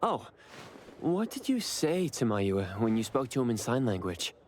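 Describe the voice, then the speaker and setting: A man's voice: medium pitch, very animated, measured pace, a little hiss or hum. A man playing an earnest, reserved young man in an anime game, speaking sincerely and with understatement. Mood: calm, curious. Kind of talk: anime game voice acting, conversational.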